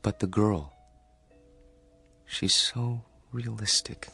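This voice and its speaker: Shy earnest loner, radio drama, hesitant stammer, polite, lower-pitched, light background music. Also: male voice, very slow, vocal fry, sharp tone, husky, heavily acted. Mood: puzzled, curious. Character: timid, awkward, earnest loner, a male museum visitor.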